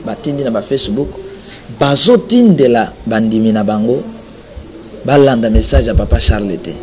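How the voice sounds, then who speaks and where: A man's voice: mid-range voice, a little mellow, slight background noise. A man with a Congolese accent preaches in an emphatic, exhorting manner, repeating phrases for weight. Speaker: preacher, self-described prophet. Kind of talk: sermon, religious address mixing two languages.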